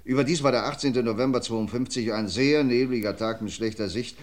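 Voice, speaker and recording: An actor playing a methodical police inspector with dry wit, in 1950s radio crime drama style, measured and reading out case files. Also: male voice, medium pitch, close to an everyday voice. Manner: measured, reads out files, wry asides.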